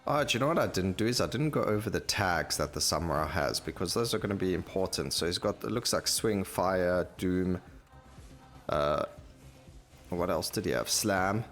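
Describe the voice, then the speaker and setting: Deep voiced guy casually talking thru his game, bit of music behind him. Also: a bit bright. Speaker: gaming video host. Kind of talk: casual gameplay commentary.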